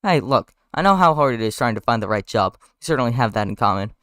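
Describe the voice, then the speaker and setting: A man's voice: mid-range voice, animated, fast, fairly bright tone, no room sound, studio quality, close to an everyday voice. A man voicing a small, upbeat, wisecracking bee with a breezy, needling manner.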